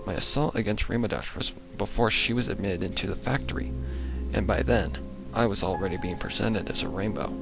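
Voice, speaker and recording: Low-voiced man narrating over music. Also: a little fast, creaky. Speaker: fan fiction narrator. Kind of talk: dramatic scripted first-person story narration. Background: prominent music.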